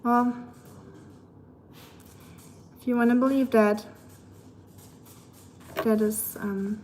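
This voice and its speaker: A young woman with a thick German accent chats through a livestream with a slightly high voice. She answers viewer messages in a loose, playful way and often laughs. A faint hiss of noise sits under her voice. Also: fairly even intonation, extremely slow, darkish tone. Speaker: livestream host. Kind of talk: casual chat reading viewer messages.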